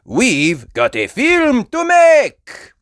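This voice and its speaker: Superhero potato, played by a woman: bold, theatrical, high and slow, storybook style. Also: huge pitch swings, no echo, studio quality, exaggerated voice. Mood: dramatic. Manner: loud, theatrical proclaiming.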